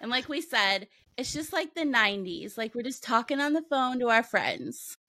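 A woman's voice: a little high, big pitch swings, moderate pace, pushed and bright, no echo. A woman with a Kansas American accent co-hosting a podcast, chatting enthusiastically and laughing often. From Kansas, USA. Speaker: podcast co-host. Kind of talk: casual podcast chat.

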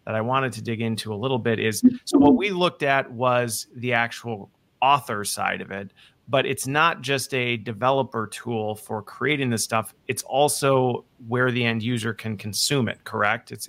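A man hosting a relaxed business interview. He asks long, searching questions, working through his thoughts as he speaks and confirming each answer before moving on. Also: mid-range voice, normal speed, creaky. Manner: curious, thinks aloud with restarts, often checks understanding.

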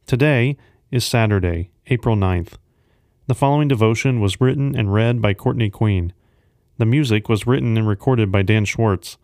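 A man's voice: fairly low pitch, moderate pace, a little mellow, no echo, clean studio sound. A man serving as host of a devotional podcast, reading a scripted announcement.

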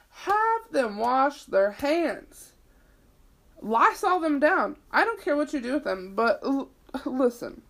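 A woman's voice: sits high, fairly bright tone. A woman in her twenties hosting her own podcast, rambling casually, swearing freely and leaning on "like," with a sniffly, itchy throat. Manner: chatty, swears freely, lots of "like". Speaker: podcast host.

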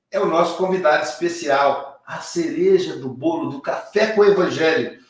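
Effusive man, very echoey and distant. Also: sits high. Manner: warm, effusive introductions. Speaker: host. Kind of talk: live online show hosting.

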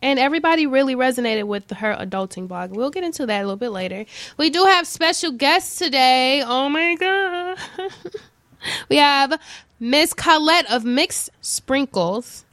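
A woman hosting a casual radio talk show in a small studio, loud and upbeat, often laughing. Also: high-pitched, lively intonation, moderate pace, no echo. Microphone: studio mic.

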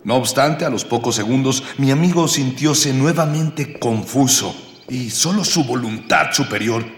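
An actor playing a grandiloquent spirit companion who narrates a radio serial in old-fashioned literary language. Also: male voice, mid-range voice, unhurried, a little hiss or hum, close to an everyday voice. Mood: solemn drama. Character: grandiloquent male unseen spirit companion of the hero. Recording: echoey long reverb over music.

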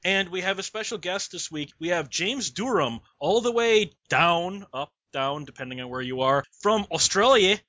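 A man's voice: high-pitched, animated, normal speed, hardly any pauses, bright, forceful tone, dry recording. A man hosting a podcast, talking casually and dropping jokey asides. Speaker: podcast host. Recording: dull lo-fi audio.

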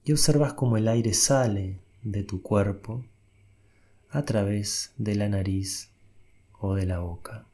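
Slow, breathy man guiding meditation. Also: lowish voice. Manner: soft, unhurried instructions with long pauses. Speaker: meditation guide. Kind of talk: guided meditation.

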